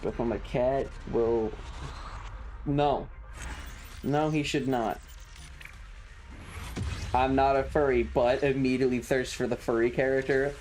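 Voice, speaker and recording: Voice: medium pitch, fairly even intonation, a little slow, pauses often, darkish tone, soft background music. A streamer chatting casually over a game in a sardonic, mock-serious way, taking time over the words. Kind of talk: casual livestream chat and game commentary.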